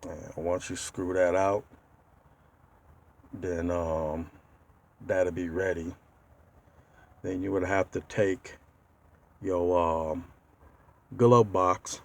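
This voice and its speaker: Low-voiced, slow-talking man. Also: fairly even intonation, gravelly. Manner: halting, pauses mid-sentence to find words. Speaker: DIY car video host. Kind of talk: casual how-to walkthrough. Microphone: handheld tablet.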